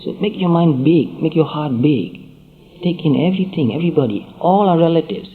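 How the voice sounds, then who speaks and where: A man giving a Buddhist dharma talk, speaking in measured phrases with frequent pauses and repetition. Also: a little high, animated. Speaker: Buddhist teacher. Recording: tinny phone-like sound.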